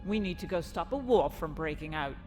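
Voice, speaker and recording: A skeptical starship captain acted by a woman gives curt, brisk commands. It is a sci-fi comic dub, with music clearly playing behind her. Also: lowish voice, lively intonation, lightly acted. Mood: curt, dismissive. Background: prominent music.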